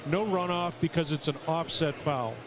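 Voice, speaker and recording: A man's voice: fairly high pitch, moderate pace, crowd noise. A man explaining officiating calls as a rules analyst on a sports broadcast. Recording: noisy live broadcast audio.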